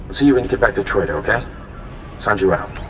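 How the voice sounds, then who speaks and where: An idealistic young resistance mole talks earnestly and warmly in a lowish voice. He sounds friendly and sincere. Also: animated, quick pace, slight background noise.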